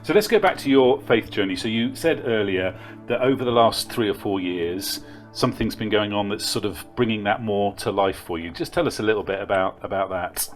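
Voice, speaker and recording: A man interviewing a younger churchgoer, asking gentle questions and adding encouraging affirmations. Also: lowish voice, brisk, faint music behind. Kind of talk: casual interview.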